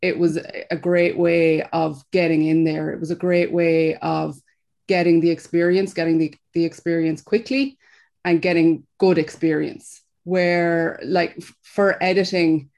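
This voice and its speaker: A woman's voice: lowish voice, level tone, a little slow, creaky, darkish tone. A woman with a Kerry Irish accent on a video call, talking as an editor, easygoing and repeating words as she thinks. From Kerry, Ireland. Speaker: film editor. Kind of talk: panel discussion.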